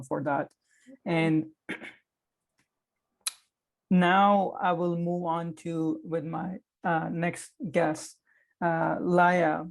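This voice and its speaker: Gravelly, flat-voiced young podcast host. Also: medium pitch. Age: young adult. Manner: hesitant, filler-laden. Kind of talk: casual podcast discussion.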